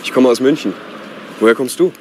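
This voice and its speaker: A man in his twenties playing a polite young man hunting for a flat, with traffic audible behind him. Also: medium pitch, a little fast, lightly acted. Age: 20s. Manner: polite, lively intonation. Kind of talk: scripted textbook dialogue. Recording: street traffic noise behind. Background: car traffic.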